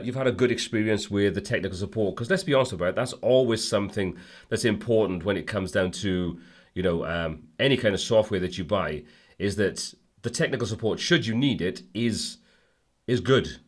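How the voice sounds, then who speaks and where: A man with a cold, a bit hoarse, hosting a casual livestream and rambling through viewer comments with lots of fillers. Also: on the low side. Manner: rambling, friendly, many fillers and restarts. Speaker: livestream host.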